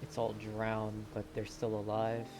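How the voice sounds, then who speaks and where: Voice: medium pitch, completely flat delivery, unhurried. A streamer giving informal, reactive game commentary in short asides. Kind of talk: casual livestream game commentary. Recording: game music behind voice.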